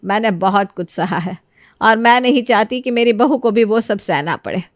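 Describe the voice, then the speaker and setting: A woman voicing a kindly, motherly middle-aged lady who reasons gently in a moral storybook tale. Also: mid-range voice, lively intonation, normal speed, no echo, studio quality, close to an everyday voice. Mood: earnest, caring. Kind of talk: animated moral storybook dialogue.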